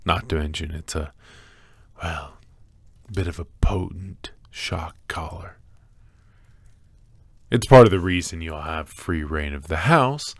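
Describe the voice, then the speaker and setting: A man playing an obsessive, doting and sinister kidnapper in an ASMR roleplay, speaking softly and haltingly with breathy whispers and sighs. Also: sits low, no room sound, exaggerated voice. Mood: calmly threatening. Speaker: voice actor.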